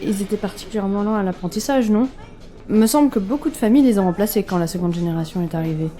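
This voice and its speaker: An actress playing an easygoing young colleague in an audio drama, casual and a little hesitant. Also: female voice, medium pitch, fast talker, dark tone, close to an everyday voice. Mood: curious. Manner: casual, tentative.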